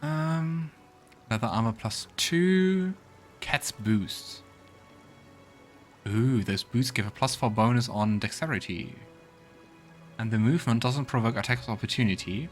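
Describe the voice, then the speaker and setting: Man doing gameplay commentary over faint music. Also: mid-range voice. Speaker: let's play host. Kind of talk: casual gameplay commentary, reading game text aloud. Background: faint game music.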